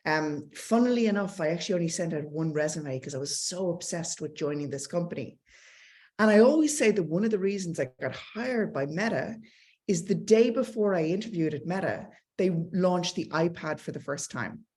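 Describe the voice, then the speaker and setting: Irish woman, middle-aged, lowish voice, upbeat conference speaker with slides. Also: animated, normal speed, darkish tone. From Ireland. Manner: enthusiastic, conversational, self-deprecating asides. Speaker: tech company speaker.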